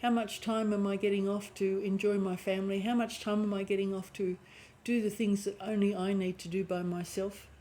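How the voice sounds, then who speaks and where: A woman with a croaky voice and a lingering cough giving an intuitive tarot reading, thinking aloud and often restarting her phrases. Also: mid-range voice, little pitch movement, brisk, few pauses, dark tone. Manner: musing aloud, restarting phrases, clearing throat. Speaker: tarot reader.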